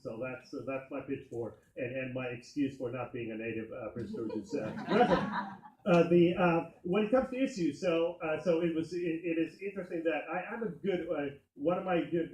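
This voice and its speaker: Hesitant man from Finland, filler-heavy pitch. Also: a little mellow. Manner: hesitant, lots of filler, friendly. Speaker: state senate candidate. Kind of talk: campaign speech at community meeting. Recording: echoey meeting room.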